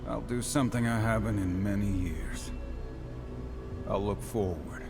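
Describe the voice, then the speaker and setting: Brooding tired warrior in a game, deep gravelly voice, reflective, music behind. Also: male voice, a little slow. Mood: reflective, resolute. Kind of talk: dramatic game cutscene acting.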